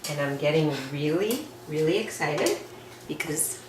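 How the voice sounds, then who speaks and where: Woman cook, deep voice, unhurried, chatty, echoey kitchen, off-mic, faint clatter of pots. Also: lively intonation, far from the mic, noisy background. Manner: slow, homely and chatty. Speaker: home cook presenting a recipe. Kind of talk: step-by-step cooking demonstration. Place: home kitchen. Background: clinking pots and cutlery.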